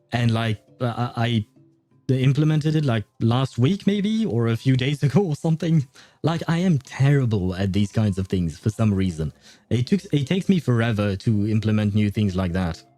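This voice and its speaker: Male livestream host, relaxed and dark-toned, jokey, hesitant conversational delivery over faint background music. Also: mid-range voice, dry recording. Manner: rambling, hesitant, jokey, often chuckling. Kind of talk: casual gaming livestream chat, reading and answering chat messages.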